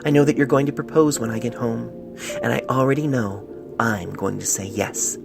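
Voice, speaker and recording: A man reads as a lovestruck young storybook mare, tender and wistful. The voice is lightened yet creaky, with music behind a homemade phone recording. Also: mid-range voice, normal speed, natural voice. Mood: tender, hopeful. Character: young mare in love, writing a tender letter. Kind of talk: letter read aloud in story.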